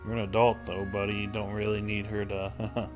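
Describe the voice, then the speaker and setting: A man's voice: on the low side, flat delivery, moderate pace, over music. A man livestreaming a video game, giving casual commentary into a streaming mic.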